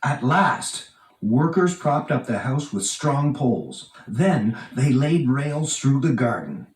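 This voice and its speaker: A man reading a children's storybook aloud with expressive, dramatic emphasis. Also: mid-range voice, slow. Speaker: storybook narrator. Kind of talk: animated read-aloud children's storybook narration. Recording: roomy, echoing, distant from mic.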